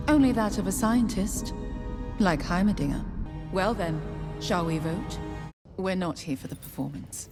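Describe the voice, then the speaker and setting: An actress playing a poised, ambitious noblewoman councillor in an animated fantasy drama, speaking in a measured, formal, silky and calculating way. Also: on the low side. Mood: formal, grave. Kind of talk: animated drama series acting. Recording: clips with music behind the voice.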